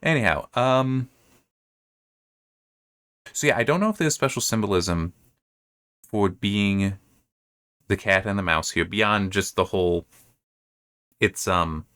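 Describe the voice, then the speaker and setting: Male, lower-pitched voice, informal book commentator who thinks out loud and wanders. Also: takes it slowly, long pauses, clear, resonant tone. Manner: rambling, thinking aloud. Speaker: host reviewing assigned reading.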